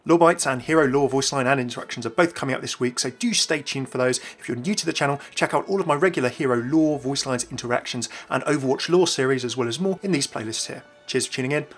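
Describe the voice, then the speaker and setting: Fast-talking young man narrating. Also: medium pitch. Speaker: YouTube gaming host. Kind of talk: scripted video narration.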